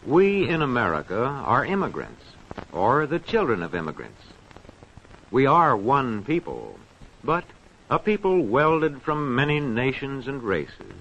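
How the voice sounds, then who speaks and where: A man's voice: mid-range voice, wildly swooping pitch, a little slow, a bit bright, muffled recording, slight background noise. Solemn man giving a formal speech. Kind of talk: formal oratory.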